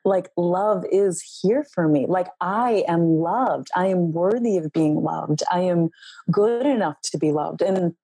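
A woman's voice: fairly low pitch, a little slow, breathy edge, dry recording. A middle-aged woman speaking earnestly and reflectively as a guest on a podcast, sharing her healing as a relationship coach. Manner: earnest, reflective, soft-spoken. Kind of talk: heartfelt podcast interview.

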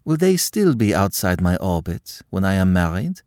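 A man voicing a composed young noblewoman who speaks quietly and firmly. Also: deep voice, very animated, no echo, studio quality. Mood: calm firm. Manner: quiet, measured.

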